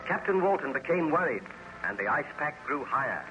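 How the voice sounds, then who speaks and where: A man's voice: a little high, sing-song, brisk, background noise. A man giving scripted narration as announcer of an old-time radio serial. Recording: dull old radio recording, loud music.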